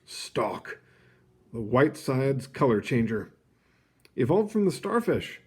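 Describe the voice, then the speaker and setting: A man reading a children's nonfiction book aloud, phrasing it clearly and adding short casual asides. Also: mid-range voice, big pitch swings, slow, a little mellow. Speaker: read-aloud host.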